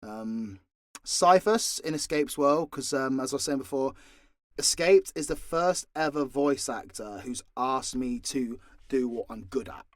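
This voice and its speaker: A man's voice: mid-range voice, lively intonation, a little slow, no room sound. Candid, sweary man chatting, dry close sound. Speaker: voice actor and audio creator answering fan questions. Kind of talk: casual unscripted Q&A talk.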